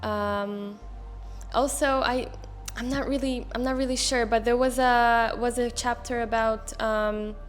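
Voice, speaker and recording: A woman's voice: fairly high pitch, fairly even intonation, measured pace, faint music behind. A woman in her twenties from Israel, speaking English as an as an interview guest on a handheld mic, hesitant, with many fillers and restarts. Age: 20s. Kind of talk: casual interview.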